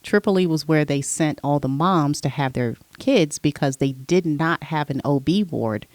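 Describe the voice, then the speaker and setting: A middle-aged woman, a podcast guest, telling stories from her past in a relaxed, conversational way. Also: deep voice, moderate pace, no echo. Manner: relaxed storyteller, recounting her past at length. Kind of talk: casual interview chat.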